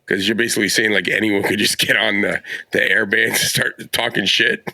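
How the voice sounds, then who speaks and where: Man, fairly low pitch, dry close sound, blunt, salty-tongued, casual chat. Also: fairly even intonation, brisk, gravelly, bright, forceful tone, no echo. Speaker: podcast panelist.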